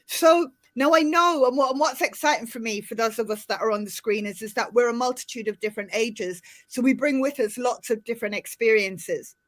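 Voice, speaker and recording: Chatty middle-aged Irish woman, higher voice. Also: a little fast, few pauses. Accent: Irish, softened by years in the UK. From Ireland. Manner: chatty, animated, many restarts. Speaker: host. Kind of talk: casual panel chat. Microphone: video call.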